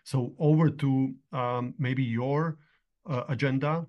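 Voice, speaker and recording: A man's voice: medium pitch, fairly even intonation, takes it slowly, lo-fi sound. Male moderator for an online panel, mid-range voice, steers speakers with follow-up questions.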